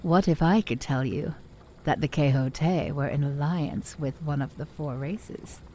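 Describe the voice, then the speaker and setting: A woman playing a measured, cryptic lore-keeper in a fantasy audio drama, speaking in deliberate, formal storytelling. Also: sits low, dark tone, a little hiss or hum. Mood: knowing, challenging. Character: measured, cryptic female lore-keeper challenging her pupils' histories.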